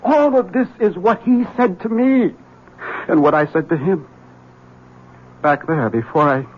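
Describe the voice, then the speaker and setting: A man's voice: high voice, a little slow, breathy edge. An actor playing a haunted, desperate man who urgently warns a rival, in a 1970s radio drama. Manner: tense, urgent, bursts of agitation. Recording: dull 1970s radio broadcast.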